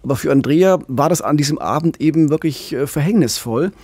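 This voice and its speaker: A man in his forties hosting a true-crime podcast, calmly explaining at a studio microphone. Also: a little high, fairly quick, breathy edge, no room sound. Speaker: podcast host. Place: radio studio. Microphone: studio condenser with pop filter.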